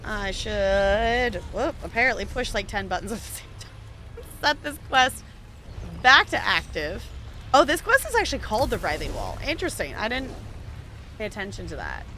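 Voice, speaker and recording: A woman's voice: mid-range voice, big pitch swings, moderate pace, background noise. A woman in her twenties chatting casually as she streams a game, rambling from thought to thought with frequent laughs. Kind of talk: casual gameplay commentary.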